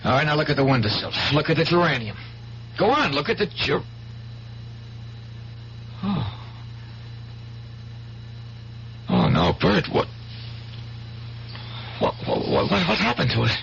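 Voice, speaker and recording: An American actor playing a tormented, nervous painter in a radio drama, stammering, defensive and agitated. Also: male voice, a little high, huge pitch swings, moderate pace, creaky, pauses often, a bit bright, heavily acted. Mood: wary, then shaken. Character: tormented, nervous, wary struggling painter in love. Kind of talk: 1970s radio drama acting. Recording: dull, hissy 1970s radio recording.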